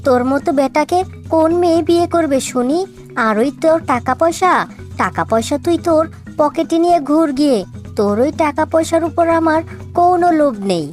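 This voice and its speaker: A woman's voice: high voice, expressive, fairly quick, a little mellow. A woman voicing a sassy, stubborn young village daughter in a cartoon, childlike and emphatic. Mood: disgusted, defiant. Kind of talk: cartoon dialogue dubbing. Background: music.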